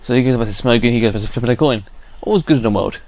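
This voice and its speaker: A man's voice: medium pitch, very fast, darkish tone, no room sound. A man giving casual, chatty gameplay commentary as a let's play host. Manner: chatty, hums to self. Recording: faint game music behind.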